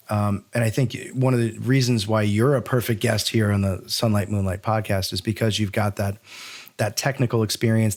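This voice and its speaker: A man with a fairly low voice speaks as a podcast host. His delivery is conversational and reflective, rambling a little as he works through his thoughts. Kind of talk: conversational interview.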